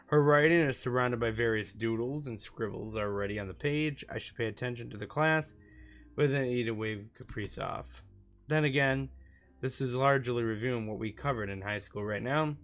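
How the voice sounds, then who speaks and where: A man's voice: mid-range voice, moderate pace, fairly bright tone. A man reading a visual novel aloud as a gaming YouTuber, sometimes stumbling and rereading phrases. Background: music from the game.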